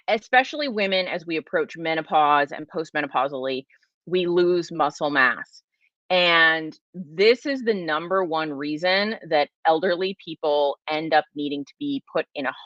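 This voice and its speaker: A woman in her forties hosts a casual livestream Q&A, chatty and emphatic, with a lot of fillers and restarts. Her voice sits slightly low but sounds bright and forceful. The sound is dry and a little dull, like a home mic. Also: no echo. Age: 40s. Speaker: host.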